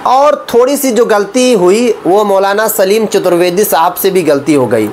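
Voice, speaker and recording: A man's voice: sits high, animated, normal speed, a little mellow, slight background noise. A man giving opinionated political news commentary in an emphatic, repetitive way, often asking rhetorical questions. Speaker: political commentary video creator.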